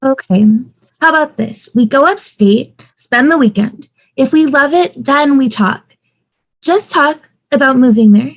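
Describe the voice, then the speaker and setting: A woman acting as an eager young wife at a table read. Her voice is high and she talks persuasively, in an everyday conversational style. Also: lively intonation, a little slow, rough recording.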